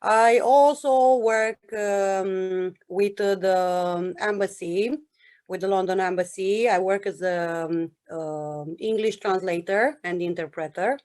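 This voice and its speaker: A woman from Romania introduces herself at a slow, unhurried pace. She hesitates often and fills the gaps with "um". Her voice sits at a middle pitch. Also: a little mellow. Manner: hesitant, with many "um" fillers. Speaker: student, former teacher. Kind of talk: self-introduction.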